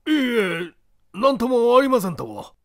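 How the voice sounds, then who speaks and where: A man voicing an earnest, puzzled gentleman in an audiobook, formal, deferential and questioning. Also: extremely high pitch, big pitch swings, normal speed, no room sound, studio quality, voice pushed up, exaggerated voice. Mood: flustered, amazed. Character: earnest, puzzled gentleman, polite and easily flustered. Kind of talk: audiobook character dialogue.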